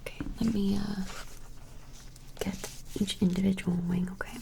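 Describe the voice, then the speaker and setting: A woman whispering softly and gently in an ASMR personal-attention role play, hesitant and reassuring. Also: mid-range voice, steady tone, normal speed, noisy background. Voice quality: soft breathy whisper with fry. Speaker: ASMR performer playing an appraiser taking measurements.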